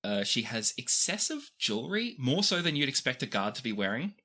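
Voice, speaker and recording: A game master narrating a tabletop roleplaying game off the cuff, describing scenes expressively with pauses. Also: medium pitch, very animated, bright, forceful tone.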